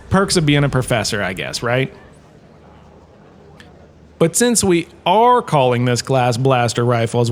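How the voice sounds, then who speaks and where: A man performs a washed-up screen star who now lectures in a comic space audio drama. He is cheerful and oblivious, with a fairly high male voice. He rambles chattily and with theatrical enthusiasm. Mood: chatty, self-mocking.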